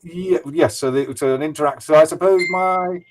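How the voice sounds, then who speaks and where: A man's voice: fairly high pitch, normal speed, over music. A man taking part in a casual online discussion, hesitant and reaching for his words. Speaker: discussion participant.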